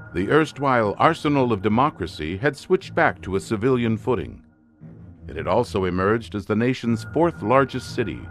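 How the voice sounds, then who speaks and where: Male announcer-narrator, portentous and measured, lively intonation over a clear music bed. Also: mid-range voice. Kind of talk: dramatic scripted radio narration.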